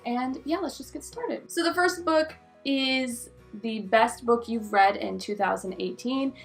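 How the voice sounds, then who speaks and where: A woman talking casually to viewers as a book vlogger, informal and effusive, with emphatic repetition. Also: mid-range voice. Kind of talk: casual chatty video talk to viewers.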